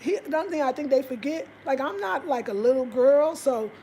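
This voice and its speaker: An American woman in her fifties chatting casually and expressively to viewers, telling stories in an animated, conversational way. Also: high voice, brisk, slight background noise. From United States. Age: 50s. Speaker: vlogger. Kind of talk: casual livestream chat, storytelling to viewers.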